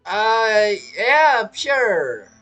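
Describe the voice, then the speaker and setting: Lowish husky girl streamer, chatty reactions, really animated, faint game music behind. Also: extremely slow. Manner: expressive, bantering, repeats words. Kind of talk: casual reaction commentary over gameplay. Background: video game music.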